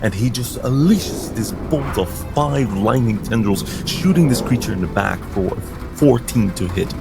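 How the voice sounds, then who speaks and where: A man's voice: mid-range voice, animated, moderate pace, gravelly, music in the background. A man playing a tabletop game, narrating his character's actions in an intense, theatrical way. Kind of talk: dramatic third-person game narration.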